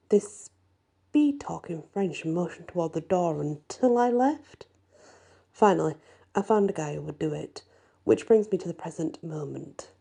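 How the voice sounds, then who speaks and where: A woman reads a first-person fantasy story in an ASMR style, her voice soft, husky and breathy. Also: low-pitched. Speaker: audiobook-style story reader.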